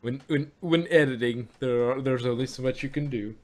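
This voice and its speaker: A man co-hosting a podcast in casual group chat, with a halting, jokey way of talking. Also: mid-range voice. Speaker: podcast co-host.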